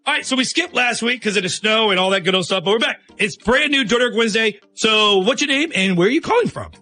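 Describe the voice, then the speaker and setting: Guy radio host, talks quick, pretty high voice, casual banter, music in back. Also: expressive.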